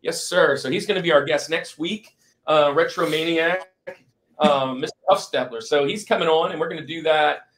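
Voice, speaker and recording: A man's voice: fairly high pitch, moderate pace, fairly bright tone. A man hosting a podcast in casual, informal chat with an enthusiastic manner. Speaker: podcast host.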